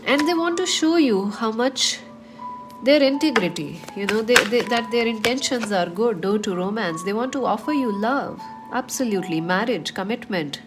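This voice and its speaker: A woman's voice: fairly high pitch, animated, normal speed, over music. A woman with a cold and a slightly nasal voice, giving a chatty tarot reading to her viewers with many fillers. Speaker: tarot reader.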